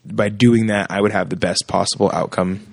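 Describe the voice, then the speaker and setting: A gravelly-voiced man in his thirties chats casually as a musician guest on a podcast. He tells stories with a lot of energy and acts out the people he quotes. His voice is recorded dry and close. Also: lowish voice, fairly quick, no room sound. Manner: animated storyteller who acts out quoted voices. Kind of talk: casual chat.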